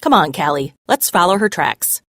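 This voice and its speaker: Woman reading a brisk, knowing storybook girl. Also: on the low side, expressive, a bit bright, no room sound, clean studio sound. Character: businesslike, knowledgeable girl leading a turtle patrol.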